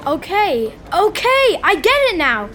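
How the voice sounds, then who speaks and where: A woman's voice: very high voice, big pitch swings, a little slow, fairly bright tone, full character voice. A woman acting in an audio drama as a cheeky, curious thirteen-year-old boy, questioning and easily stung. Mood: amazed, inquisitive.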